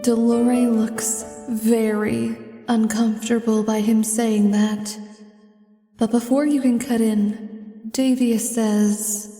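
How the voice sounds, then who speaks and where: A woman's voice: a little high, level tone, takes it slowly, warm tone, reverberant, long reverb tail, recorded from a distance. A woman narrating a scripted fantasy story in the second person, as its storyteller. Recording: clear music behind the voice.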